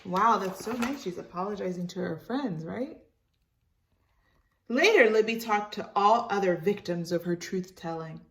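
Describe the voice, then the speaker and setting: A woman's voice: medium pitch, unhurried, a bit bright, far from the mic. A woman reading a storybook aloud expressively for children, encouraging and speaking to them as friends. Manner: encouraging, addresses young listeners as friends.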